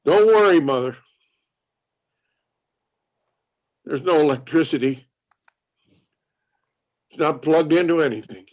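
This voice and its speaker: A man playing a stubborn, musing inventor in his eighties, speaking haltingly in a play reading. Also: on the high side, animated, a little mellow, close to an everyday voice. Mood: eager, insistent. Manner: halting, repeating words, ruminative. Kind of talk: acted dialogue in a play reading.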